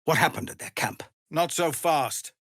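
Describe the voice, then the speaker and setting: A middle-aged man playing a weary, dutiful tiefling commander of refugees in a video game, speaking formally and earnestly with measured authority. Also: on the high side, fairly bright tone, dry recording. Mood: weary, firm. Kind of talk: dramatic video game voice acting.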